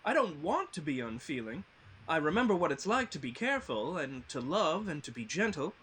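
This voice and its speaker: A man's voice: on the high side, sing-song, a little fast, a bit bright, slightly rough, exaggerated voice. A man playing a tender-hearted, anxious and weepy tin woodman in a live fantasy table read, fretful and melodramatic. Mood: mournful earnest.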